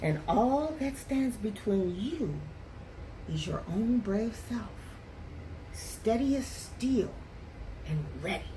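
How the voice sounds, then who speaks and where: A woman reads a children's picture book in a fairly high voice with lively, swooping intonation. Her phrasing is deliberate and expressive, like a teacher at story time. The pace is slow, and faint noise sits behind her. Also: rough recording.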